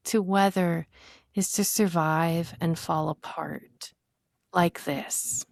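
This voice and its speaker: A woman with a low-pitched voice speaks as a guest on a poetry program. She moves between conversational talk and reading verse, in a very dry recording with little room sound. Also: steady tone, slow, creaky, darkish tone, no echo. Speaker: guest poet. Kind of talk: literary conversation with poem reading.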